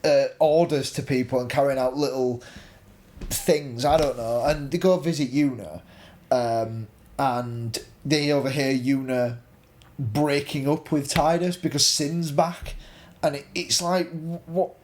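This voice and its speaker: A man's voice: mid-range voice, fairly even intonation, measured pace, darkish tone. A man co-hosting a casual panel discussion, exasperated and given to repeating himself. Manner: exasperated, repetitive. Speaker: podcast co-host.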